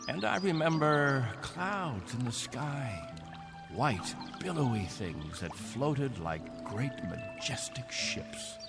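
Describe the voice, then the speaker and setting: Aging male starship captain, radio drama. Wistful and deliberate, wide-ranging delivery, reverberant, music underneath. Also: medium pitch, sing-song. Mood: wistful, nostalgic. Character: aging spaceship captain, wistful leader of stranded colonists.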